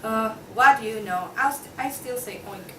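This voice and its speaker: A woman's voice: a little high, normal speed, pushed and bright. A young woman in a student table read playing a stubborn, hot-tempered, argumentative juror pig in a comic courtroom play. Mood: skeptical. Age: young adult. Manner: argumentative, indignant. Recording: distant, echoey room with clear background noise.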